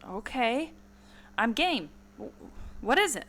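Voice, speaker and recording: A woman playing a sensible, curious adventurer with expressive delivery in a radio comedy. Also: a little high, takes it slowly, exaggerated voice. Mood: eager. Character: sensible, curious young-spirited female adventurer Annie. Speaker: podcast host acting in audio drama. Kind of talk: amateur radio comedy acting. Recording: noticeable ambient background noise.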